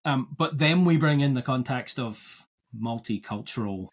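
Husky Scottish man co-hosting a podcast. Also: mid-range voice, moderate pace. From Scotland. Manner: thoughtful, restarts and searches for words. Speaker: podcast co-host. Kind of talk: casual podcast discussion.

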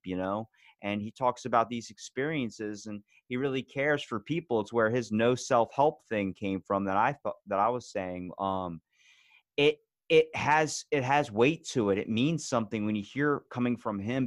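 A man's voice: lowish voice, no room sound, studio quality. A man as a podcast guest in casual conversation, talking bluntly with plenty of swearing and rising energy. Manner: blunt, profane and fired-up.

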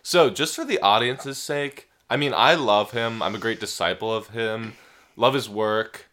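A man co-hosting a comedic health podcast, stuffy from a cold, making enthusiastic deadpan claims. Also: on the low side, unhurried. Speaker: podcast co-host.

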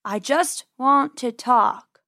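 A woman's voice: high voice, slow pace, pushed and bright, no room sound, studio quality, exaggerated voice. A woman voicing an angry, menacing male farmer, the villain of a children's storybook. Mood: sly menace.

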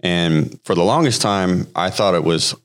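Deep-voiced man in his thirties, podcast guest sharing his testimony; loose and halting, lots of fillers. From Hawaii. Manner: halting, frequent fillers and restarts. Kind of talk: personal testimony, conversational storytelling.

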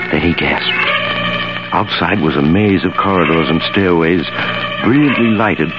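An actor playing a grave, mysterious narrator of an old radio suspense drama, measured and dramatic. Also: male voice, extremely low pitch. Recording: muffled old radio recording, loud music.

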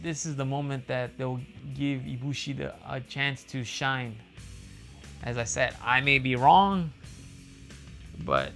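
A man with a loud, booming voice that is gravelly and bright-edged. He rambles in a casual monologue, circling back with fillers and restarts. Faint guitar music plays behind him. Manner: rambling, repeats himself, many fillers and restarts. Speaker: wrestling fan commentator.

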